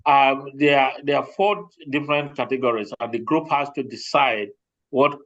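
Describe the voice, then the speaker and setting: A man's voice: medium pitch, fairly even intonation, moderate pace, a bit bright. A man speaking as an insurance representative on a group call, explaining informally and often repeating himself as he goes. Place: remote online group call. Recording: dull, lo-fi call audio.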